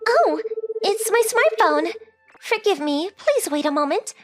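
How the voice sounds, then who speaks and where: A woman's voice: very high voice, sing-song, measured pace, dry recording, soft background music, heavily acted. A woman voicing a polite, shy teenage rich girl in an anime-style dub, with very formal, hesitant phrasing. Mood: apologetic.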